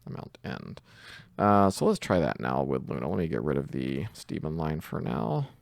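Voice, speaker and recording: Low, gravelly man thinking aloud. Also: big pitch swings, moderate pace, dry recording. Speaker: tutorial presenter. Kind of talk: casual screen-recorded coding tutorial.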